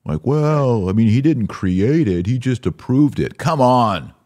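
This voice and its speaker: A man's voice: medium pitch, expressive, a little slow, a little mellow. A man hosting a podcast, giving opinionated religious commentary in an emphatic, exhorting manner with rhetorical questions. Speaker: podcast host.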